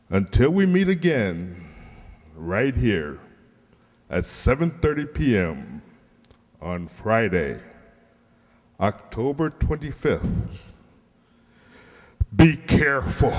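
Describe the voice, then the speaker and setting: A man formally and deliberately reading a prepared announcement to an audience as director and host. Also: lowish voice. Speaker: director and host of a radio play group. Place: indoor hall, live stage event. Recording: reverberant hall.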